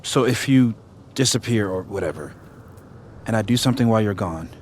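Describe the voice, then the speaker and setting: Game drama role, male actor: skeptical, grieving young man, mid-pitched, highly animated intonation, faint rumble behind. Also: brisk, lightly acted. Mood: wary. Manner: guarded, wry, swings to emphatic. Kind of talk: naturalistic video game drama acting.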